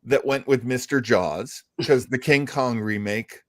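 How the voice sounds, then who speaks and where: A man's voice: mid-range voice, a little slow, no echo. A middle-aged man hosting a live show in casual, chatty conversation. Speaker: host of a live panel show. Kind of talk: casual chat.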